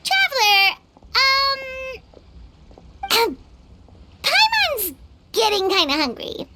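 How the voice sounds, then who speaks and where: A woman voicing a small, perky, childlike fairy companion with a squeaky voice in a video game cutscene. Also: wildly swooping pitch, extremely slow, heavy background noise, heavily acted. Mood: cheerful, hungry. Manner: refers to self by name, bubbly. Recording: loud music under the voice.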